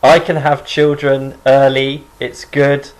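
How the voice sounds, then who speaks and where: A man's voice: medium pitch, level tone, takes it slowly, low-quality recording. Encouraging male English teacher. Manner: encouraging, rephrases the student's words. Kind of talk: conversation lesson.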